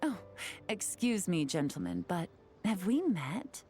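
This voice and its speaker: A woman's voice: fairly low pitch, huge pitch swings, mellow, faint music behind, full character voice. A woman playing a poised, shrewd investigator in a video game, speaking with composed, confident polish. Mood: sly politeness. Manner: composed, measured, coolly confident. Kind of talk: video game character voice acting.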